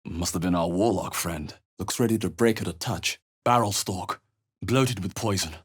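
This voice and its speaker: Low, husky, wary fantasy adventurer. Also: male voice, normal speed, no room sound, clean studio sound. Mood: musing, wary. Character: thoughtful, wary adult male fantasy adventurer. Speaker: video game voice actor. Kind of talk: video game character voice lines.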